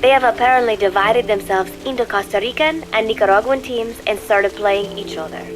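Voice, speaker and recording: A woman's voice: a little high, a little fast, background noise, lightly acted. An actress playing a prim, secretive young woman in a video game, narrating an audio diary in a composed, precise, faintly disdainful way. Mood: measured, detached.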